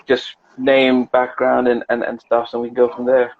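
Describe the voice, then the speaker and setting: A man hosting an interview chat, talking in a halting way with many restarts. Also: medium pitch, monotone, creaky, phone-quality sound, slight background noise. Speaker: interview host.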